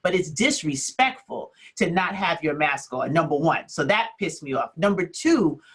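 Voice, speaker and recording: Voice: mid-range voice, expressive, normal speed, fairly bright tone, breathy edge. Indignant co-host making points. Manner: indignant, ticks off numbered points. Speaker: talk show co-host. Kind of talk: talk show commentary.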